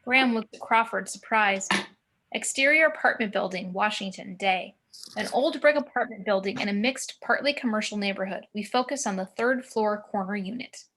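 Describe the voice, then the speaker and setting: A woman's voice: mid-range voice, normal speed, a bit bright. A woman giving scripted narration of screenplay action and scene directions at a table read. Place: remote video call.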